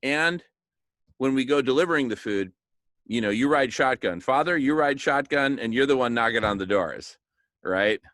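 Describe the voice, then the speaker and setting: A man talking informally and enthusiastically like an organizer pitching a plan, emphatic and jokey, often checking for agreement. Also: normal speed, fairly bright tone, dry recording, clean studio sound. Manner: enthusiastic, emphatic, repeatedly seeking agreement with tag questions; jokey, chuckles at his own remarks. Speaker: organizer of a food charity project. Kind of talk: informal motivational planning talk.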